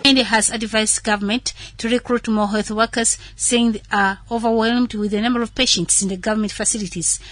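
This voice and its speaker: A woman's voice: fairly high pitch, fairly even intonation, a little fast, hardly any pauses, a bit bright. A woman with a Ugandan accent reading a scripted news report as a radio reporter.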